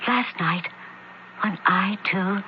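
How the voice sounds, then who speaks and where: A woman's voice: medium pitch, takes it slowly, close to an everyday voice. An actress playing a grieving, steadfast Roman woman in an earnest, dramatic radio play style. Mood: earnest concern. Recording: dull radio recording, faint hiss.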